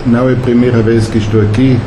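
A man whose first language is not Portuguese, with faded Brazilian traits, giving friendly, unhurried welcome remarks at a workshop and pausing to find words. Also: medium pitch, little pitch movement. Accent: foreign speaker of Portuguese with faded Brazilian traits. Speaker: World Bank country director. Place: meeting room. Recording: echoey room with loud background noise.

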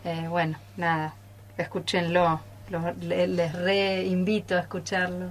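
An Argentine woman with a fairly low voice talks in an informal, expressive way, as in a group chat. It is a studio recording with some faint noise behind her. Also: takes it slowly. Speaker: radio theatre group member. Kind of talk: casual group interview chat. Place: radio studio.

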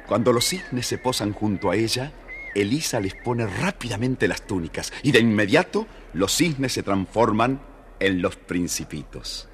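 A man narrating a scripted children's fairy tale as an expressive, dramatic storyteller. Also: fairly low pitch, measured pace, a little hiss or hum.

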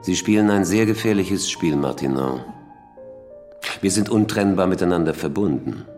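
An actor playing a self-assured, threatening football club president in a radio drama, speaking with tight control. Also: male voice, deep voice, lively intonation, normal speed, darkish tone, faint music behind. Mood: cold, threatening.

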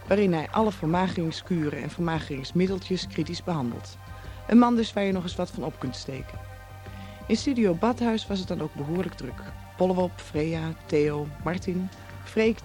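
A man's voice: high voice, darkish tone. A man reading scripted narration like a storyteller for a programme.

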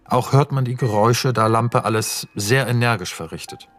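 A man's voice: medium pitch, normal speed, a bit bright, faint music behind. A man narrating scenes and stage directions for a radio play in a precise, matter-of-fact way.

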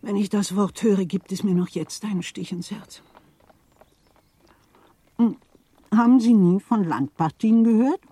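An actress playing a worldly, gossipy middle-aged widow of a Berlin official in a German radio play, theatrical, insinuating and chatty. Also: female voice, mid-range voice, measured pace, long pauses, mellow, slightly rough, no echo. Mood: mock horror. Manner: theatrical, insinuating, chatty, repeats words for emphasis.

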